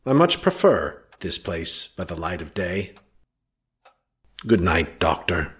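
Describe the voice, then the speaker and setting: A man playing an earnest, uneasy young gentleman heir in an audio drama, with a dramatic delivery. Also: sits low, very animated, takes it slowly, full character voice. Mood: brooding. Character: Sir Henry Baskerville, earnest, uneasy young heir from Canada.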